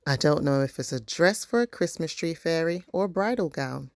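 A woman voicing a kindly, encouraging grandmother in a storybook, gently questioning and reassuring. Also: low-pitched, lively intonation, moderate pace, no echo, studio quality. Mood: puzzled.

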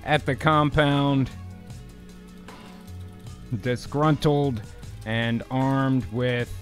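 A man's voice: medium pitch, fairly even intonation, extremely slow, faint music behind. A man hosting and narrating a radio-play style show, mixing narration with casual banter. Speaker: host and narrator. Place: indoor home streaming room.

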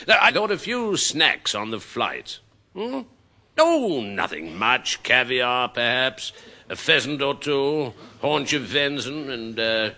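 A shifty, self-indulgent British civil servant in a radio comedy. The voice is very sharp and gravelly, plummy and hesitant, with lively swoops in pitch. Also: male voice, full character voice. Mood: smug. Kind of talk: 1960s radio comedy acting.

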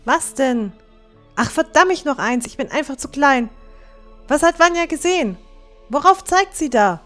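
A woman's voice: high-pitched, expressive, a little slow, fairly bright tone, soft background music. A woman playing a small teenage girl adventurer in a fantasy audio drama, anxious and urgent for her friend. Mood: puzzled. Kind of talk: fan-made fantasy audio drama acting.

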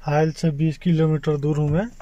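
A man's voice: on the high side, level tone, moderate pace, warm tone, dry recording, highs cut off. A man from India, a house driver, chatting casually to his viewers while driving and running errands. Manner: chatty, addresses viewers directly. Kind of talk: casual vlog narration. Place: in a car and outdoors in a street. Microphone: handheld phone camera. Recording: noisy phone recording.

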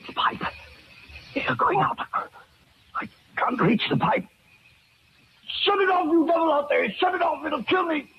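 An actor playing a desperate old villain gasping for air in a 1940s radio melodrama. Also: male voice, very high voice, unhurried, long pauses. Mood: frantic, panicked. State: gasping for breath. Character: trapped, suffocating old villain, frantic and desperate. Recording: dull noisy 1940s radio recording.

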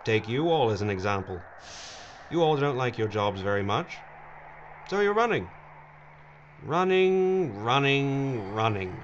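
Man acting a smug, slow-talking mad villain. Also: medium pitch, very animated, lo-fi sound, a little hiss or hum. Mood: gloating. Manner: mocking, theatrical, drawling. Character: gleeful mad villain, smug greedy schemer. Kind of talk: audio drama acting.

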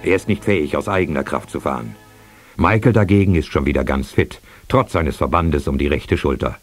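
A man's voice: extremely low pitch, expressive, brisk. A man narrating an action radio play in a dramatic, scripted storytelling style. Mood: dramatic narration. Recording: cassette radio play with music underneath.

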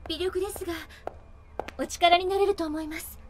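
An actress playing a calm, polite young female medical assistant in an anime audio drama, speaking formally and precisely. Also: very high-pitched, normal speed, soft background music. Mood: polite, composed.

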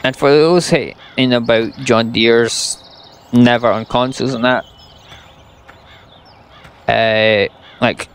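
Gravelly young Scottish man ranting. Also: medium pitch, fairly even intonation, slow, long pauses. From Scotland. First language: English. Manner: blunt, exasperated, sarcastic. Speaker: gaming YouTuber. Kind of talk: ranting gameplay commentary.

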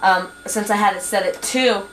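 A young woman patiently teaching word processing at a desk computer, with many pauses and ums, recorded on a 1990s camcorder in a small home office. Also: medium pitch, fairly bright tone, rough recording. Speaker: computer instructor. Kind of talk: hands-on software tutorial. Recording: 1990s camcorder with faint hiss.

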